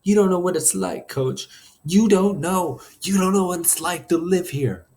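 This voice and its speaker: A man's voice: fairly high pitch, lively intonation, normal speed, voice pushed up, lightly acted. A man voicing a distraught, defiant teenage boy in a story, pleading with a strained, breaking voice. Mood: tearful, straining.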